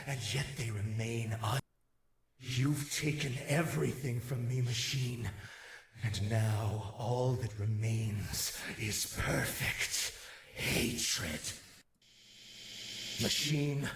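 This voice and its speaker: An actor playing a wrathful, righteous angelic warrior in a video game, with a gravelly voice, echoing reverb and grand archaic declamation. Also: male voice, mid-range voice. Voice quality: raspy, gravelly. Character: wrathful righteous male holy warrior, zealous avenging angel. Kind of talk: dramatic video game villain monologue.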